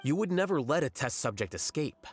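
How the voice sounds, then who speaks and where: An actor playing a coolly analytical male scholar in a video game, speaking in a calm, measured, matter-of-fact way. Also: fairly high pitch, slightly rough. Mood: calm, frank. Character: cool, aloof male scholar, coldly analytical. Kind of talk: game voice acting. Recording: game audio over loud music.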